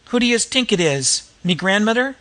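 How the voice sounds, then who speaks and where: A man's voice: high-pitched, animated, moderate pace, bright, forceful tone, pitched above the performer's own voice. A man putting on a screeching slum hag. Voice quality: screeching, cackling. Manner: caustic, coarse street talk. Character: woman disguised as a shrill slum hag.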